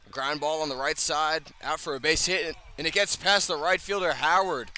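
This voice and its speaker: Bright-voiced male baseball play-by-play announcer. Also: fairly high pitch, normal speed. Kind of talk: live baseball play-by-play commentary. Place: outdoors at a ballpark, field level by the first base line.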